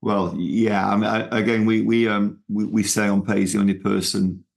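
Gravelly low-voiced Manchester man. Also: flat delivery, normal speed. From England. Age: middle-aged. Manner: rambling, with many fillers and restarts. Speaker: ministry founder, guest. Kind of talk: conversational interview.

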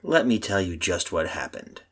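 A haunted husband in a radio horror play acted by a man, low and husky. His theatrical delivery swings widely as he tells of his doom in halting phrases. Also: very animated, fairly bright tone, full character voice. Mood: anguished, brooding. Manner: theatrical, brooding, halting monologue. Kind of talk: old radio horror drama acting.

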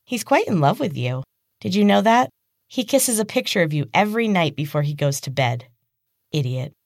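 A woman voicing a little girl in a storybook, a shrill, gushing, childish put-on that hides something evil. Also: very animated, moderate pace, vocal fry, no room sound, clean studio sound, full character voice. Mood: sweetly sinister. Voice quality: shrill, high-pitched whine. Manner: over-the-top childish enthusiasm. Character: evil being posing as a bubbly little girl.

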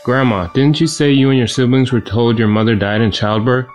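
An actor playing a polite, inquisitive grandson in an audio drama, speaking matter-of-factly. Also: male voice, medium pitch, steady tone, brisk, soft background music, close to an everyday voice. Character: inquisitive grandson researching his family's past.

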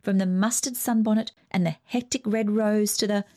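A woman's voice: mid-range voice, steady tone, normal speed, mellow, voice pushed up, lightly acted. A woman playing a prim young bride-to-be. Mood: confessing. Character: earnest young woman, eldest daughter, about to wed.

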